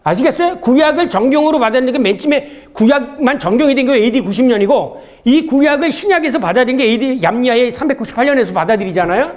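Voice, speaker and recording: A man's voice: very high-pitched, expressive, fast, hardly any pauses. A man giving a theology seminar lecture in a conversational, didactic way, often asking rhetorical questions and answering them himself.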